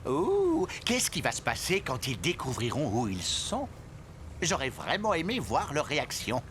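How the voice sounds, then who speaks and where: A man voicing a gleeful, theatrical fake pirate captain in a French cartoon dub, excitable in manner. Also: medium pitch, a little slow. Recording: clear noise behind, outdoor ambience.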